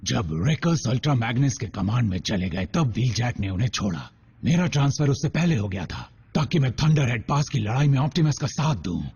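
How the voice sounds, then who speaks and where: A man's voice: mid-range voice, big pitch swings, fairly quick, creaky, darkish tone, slightly rough, heavily acted. A man playing a loyal, good-natured robot soldier in a cartoon, friendly and earnest, with a peacemaker's manner. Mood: reflective. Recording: dull sound with background noise.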